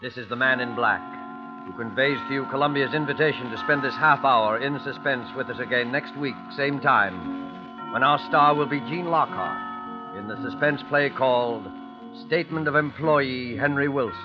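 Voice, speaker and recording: A male announcer introduces radio drama in a formal, measured, ominous 1940s manner. His mid-pitched voice sits over clear music, on a muffled old broadcast recording. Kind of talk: 1940s radio scripted narration.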